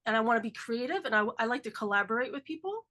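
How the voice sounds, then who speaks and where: A woman's voice: a little high, lively intonation, racing, pushed and bright. A woman in her forties hosting a podcast, chatty and filler-heavy, sounding nasal from a cold. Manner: chatty, lots of "like" and "um". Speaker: podcast host. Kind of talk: casual chat.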